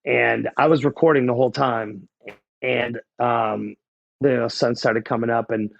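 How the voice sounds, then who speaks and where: A man's voice: medium pitch, fairly even intonation, a little slow, creaky, dry recording, muffled recording. A man in his forties with a Boston accent, telling his story in a casual, candid, conversational way. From New England, small-town New Hampshire near the Massachusetts border. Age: 40s. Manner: candid, blunt. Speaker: guest, life coach.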